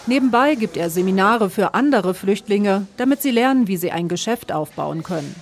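A woman reporter reading scripted documentary narration in a measured, even way. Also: mid-range voice.

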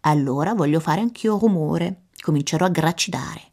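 A woman voicing a flustered male raven in a children's storybook, talking in a hurried rush. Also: sits low, darkish tone.

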